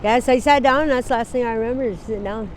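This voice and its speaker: Woman in her forties, halting rambling talk, outdoors, faint traffic. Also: on the high side. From Wyoming. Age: 40s. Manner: rambling, halting, laughs easily. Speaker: interviewee. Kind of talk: candid street interview. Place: outdoors on a city street.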